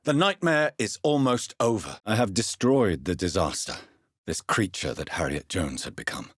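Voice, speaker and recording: A grave British gentleman vampire doctor for a video game. His voice is very deep and markedly husky, with expressive rises and falls. He speaks in formal, measured period phrases, courteous and earnest. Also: no echo, clean studio sound. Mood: stern, intense. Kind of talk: dramatic game dialogue, formal period speech.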